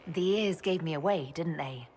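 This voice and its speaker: A woman playing a composed elf leader in a video game, asking calm, direct, polite questions. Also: sits low, moderate pace, slight background noise, natural voice.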